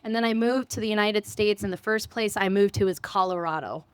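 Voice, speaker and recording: A woman's voice: mid-range voice, brisk, clean tone, no echo. A woman from the Philippines, a guest on a live panel, talking with bubbly enthusiasm. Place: cafe event stage.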